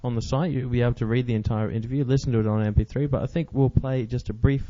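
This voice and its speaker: A man's voice: medium pitch, steady tone, fast, no room sound. A man hosting a podcast in a casual, conversational chat. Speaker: podcast host. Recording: dry, slightly dull recording.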